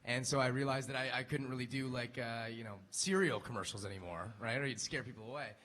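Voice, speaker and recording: A man, a voice actor, telling stories on a convention panel in a quick, chatty, upbeat way. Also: mid-range voice, a bit bright.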